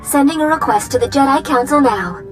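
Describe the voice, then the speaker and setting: A playful female computer companion for a video game. Her voice is high and sugary, and she phrases things in a breezy, flirtatious way. Music is clear in the background. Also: expressive, fairly quick. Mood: playful fussing.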